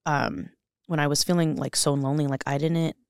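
A young woman talks openly on a podcast, her voice catching in a gravelly fry. She circles back, restarts, and fills gaps with "like" and "you know." The sound is dry and close. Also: deep voice, steady tone, brisk, no room sound. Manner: earnest, emotional, many fillers and restarts. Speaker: podcast guest. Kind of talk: candid interview conversation.

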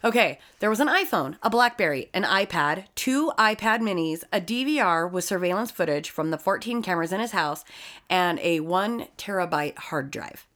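A woman's voice: on the low side, animated, moderate pace, a bit bright. A woman hosting a casual true-crime podcast, telling the case with sarcastic, swearing asides. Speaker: podcast host narrating the case.

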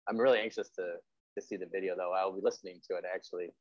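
Low-voiced, fast-talking man hosting. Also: fairly even intonation, a little mellow, no room sound, dull audio. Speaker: podcast host, interviewer. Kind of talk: casual interview chat.

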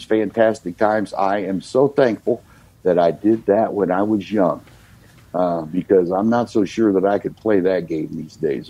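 A very low, husky voice from an older American man who talks things through slowly and thoughtfully, filling pauses with "you know." His tone is dark and mellow, and the recording is dry and close. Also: no echo, rough recording. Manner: reflective, leans on "you know" fillers. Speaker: guest, singer-songwriter. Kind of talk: relaxed conversational storytelling.

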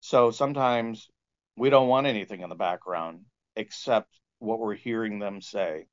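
A man speaking as a composer and sound engineer, explaining his approach in a halting, informal interview manner. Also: lowish voice. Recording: dull lo-fi audio.

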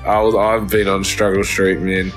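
A man with a low voice co-hosts a podcast. He chats in a laid-back, slangy way, with music clearly playing behind him. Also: little pitch movement, moderate pace, fairly bright tone. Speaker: podcast co-host. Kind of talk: casual chat.

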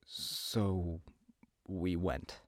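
A man acting an anxious, weary young man who gives a halting, confessional first-person account in an audio drama. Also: sits low, fairly even intonation, no room sound. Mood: uneasy, reflective. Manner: halting, hesitant. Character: weary, anxious young man recounting a frightening road trip.

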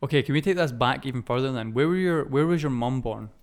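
A man with a clean, smooth voice at a medium pitch hosts a relaxed conversational podcast. He speaks informally, often stopping mid-thought to correct himself and start again. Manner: informal, halting, self-correcting. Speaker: podcast host. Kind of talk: casual conversational podcast chat.

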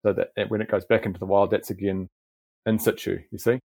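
Gravelly low-voiced New Zealand man. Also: little pitch movement, fast, darkish tone, no echo, clean studio sound. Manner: thoughtful, explains with frequent restarts and fillers. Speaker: botanic garden manager, podcast guest. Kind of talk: relaxed podcast interview.

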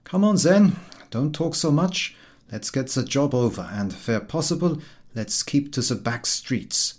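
Man voicing a sardonic, husky old carriage horse. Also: medium pitch, animated, measured pace, pitched above the performer's own voice. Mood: impatient scorn. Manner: dry, disdainful. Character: sardonic, world-weary carriage horse, scornful of tourists.

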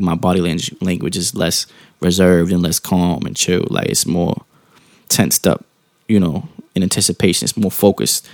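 A man with a low, mellow, soft-spoken voice talks casually and calmly, thinking aloud, rephrasing as he goes and checking in with the listener in informal slang. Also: no room sound, clean studio sound. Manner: calm, informal slang, halting rephrasing with frequent tag questions. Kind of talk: casual reflective monologue.

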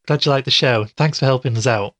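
A man playing a sceptical podcast host, tired, casual, understated, mid-pitched, dry studio sound, audio drama. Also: fast, fairly bright tone, no room sound, natural voice. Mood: curious. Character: sceptical, tired male podcaster investigating a mystery.

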